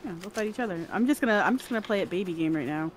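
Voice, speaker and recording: Playful giggly gamer, mid-pitched. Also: animated, racing, crowd noise, poor audio. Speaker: co-host and gamer. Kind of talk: casual gaming chat.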